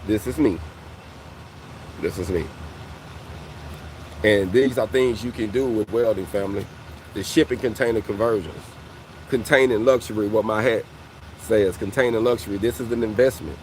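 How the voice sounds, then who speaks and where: A man's voice: fairly low pitch, normal speed, pauses often, darkish tone, a little hiss or hum, poor audio. Man in his forties, casual coaching talk. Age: 40s. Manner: conversational, repeats "fam" and "you know what I mean". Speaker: welding coach and channel host. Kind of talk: casual motivational talk to viewers.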